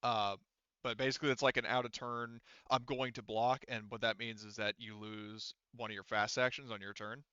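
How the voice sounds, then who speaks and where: A male game master with a bright, clear voice talks players through the rules in a casual, halting way, thinking aloud. The sound is dry and close, though slightly dull and lo-fi. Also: medium pitch, flat delivery, no echo. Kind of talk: casual tabletop game refereeing.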